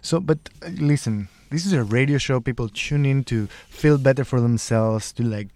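A man's voice: mid-range voice, normal speed, a little mellow, no echo. A man co-hosting a casual radio show, throwing in teasing, jokey remarks.

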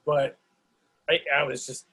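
A man hosting a discussion on a video call, excitable and lively, often restarting his sentences. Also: mid-range voice, unhurried, vocal fry, husky. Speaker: host of a play reading discussion. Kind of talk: casual group discussion.

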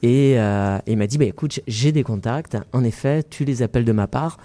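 Man from France, interview guest, measured and hesitant, mellow timbre. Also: medium pitch. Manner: measured, hesitant with many fillers. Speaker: festival founder. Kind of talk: interview conversation.

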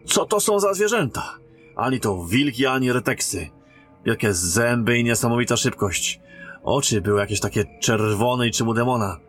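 Aktor jako uprzejmy kapitan, królewski emisariusz. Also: male voice, medium pitch, expressive, moderate pace, a bit bright, faint music behind, lightly acted. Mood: shaken. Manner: formal, polite military address. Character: courteous male royal emissary, an army captain. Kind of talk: fantasy audio drama acting.

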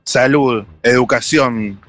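A man's voice: mid-range voice, slow, a bit bright. A man with a Buenos Aires accent doing casual street interviews for radio, chummy and informal, putting short questions to passers-by. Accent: Argentine, Buenos Aires. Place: busy street corner outdoors.

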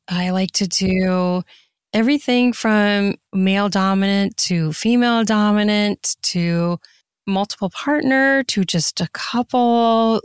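A woman with a medium-pitched voice, talking casually as an interview guest. She is effusive and emphatic, and the sound is dry with no room echo. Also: clean tone. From United States. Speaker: podcast guest, erotica author.

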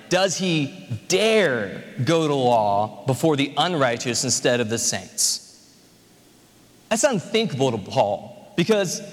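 Young male preacher in a reverberant hall. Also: a little high. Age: 20s. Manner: conversational, joking, emphatic. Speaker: pastor. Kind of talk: sermon.

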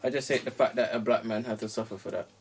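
A man's voice: fairly low pitch, level tone, fast. A man chipping into casual banter with slangy remarks. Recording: very noisy with clicks.

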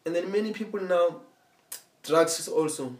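A man speaking as a podcast guest in halting, earnest speech, often checking in with the listener. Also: high-pitched. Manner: halting, repetitive, checks in with "you understand?". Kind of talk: casual conversation.

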